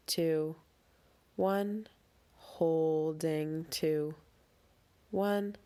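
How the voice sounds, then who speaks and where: Female meditation guide, unhurried and soothing, slightly low voice, calmly instructing through breathwork.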